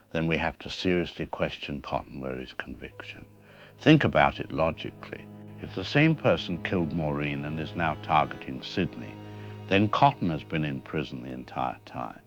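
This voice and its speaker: A man playing a professor interviewed as an expert in a radio drama, speaking in a measured, analytical way. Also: deep voice. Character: grave male professor explaining a killer's mind. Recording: dull lo-fi radio sound with faint music.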